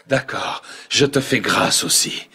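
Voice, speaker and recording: A man's voice: medium pitch, slow, bright, forceful tone, slightly rough, full character voice. A man playing an earnest, merciful and bold young prince in a fairy-tale cartoon, with declamatory delivery.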